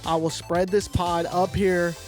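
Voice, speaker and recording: A man co-hosting a retro podcast, reading fan mail in a relaxed, slangy, joking way. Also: high voice, a little slow, soft background music. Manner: laid-back, slangy, laughs easily. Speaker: podcast co-host.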